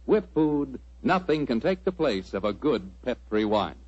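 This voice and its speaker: A man's voice: mid-range voice, big pitch swings, a little slow. A man announcing in 1940s radio style, reading sponsor copy and scripted narration with a salesmanlike delivery. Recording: muffled vintage 1940s radio recording.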